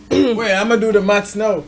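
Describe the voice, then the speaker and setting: A woman with a mid-range voice hosts a casual chat. Clear background chatter can be heard behind her. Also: mid-range voice, flat delivery, unhurried. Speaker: host.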